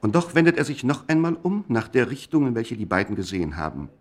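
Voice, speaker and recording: A man narrating a scripted radio play in a measured, literary manner. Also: medium pitch, big pitch swings.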